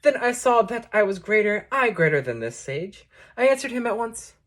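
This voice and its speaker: A woman reads verse aloud with a middle-pitched voice. She recites in a measured, declamatory way, setting out each short phrase on its own as a poetry reader would. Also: big pitch swings, fairly bright tone. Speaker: poetry reader introducing a book. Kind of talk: recited poetry reading with short spoken introduction.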